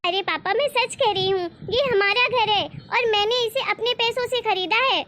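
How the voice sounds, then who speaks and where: An extremely high, childlike female cartoon voice, acted by a woman. The delivery is emphatic and exclamatory, full of devotion, as in a family moral story. Faint noise sits behind it. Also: normal speed, pushed and bright. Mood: insistent. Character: excited, devoted young daughter and her protective mother.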